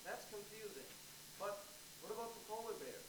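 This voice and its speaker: Curious, earnest schoolboy male actor, high register, live play reading in an echoey hall. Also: lively intonation, moderate pace. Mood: curious, puzzled. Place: indoor stage of a university theatre. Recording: echoey, noisy live theatre recording.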